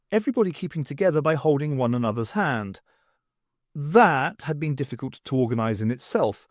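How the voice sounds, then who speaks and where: Man, audiobook narrator, animated intonation, expressive storytelling, close dry sound. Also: a little high, no room sound. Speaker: audiobook reader. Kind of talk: dramatised reading with voices for characters.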